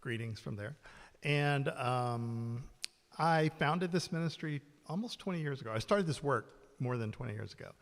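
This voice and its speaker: A man in his sixties, founder of a ministry, speaking to a church group in a loud, friendly, self-deprecating way. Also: medium pitch, animated, moderate pace. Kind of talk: casual talk to a church group. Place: church meeting room. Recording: roomy hall reverb.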